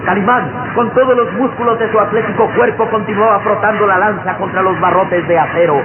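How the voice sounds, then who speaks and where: High-voiced male radio serial narrator. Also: steady tone, fairly quick. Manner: dramatic, declamatory. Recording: narrow, noisy vintage radio recording.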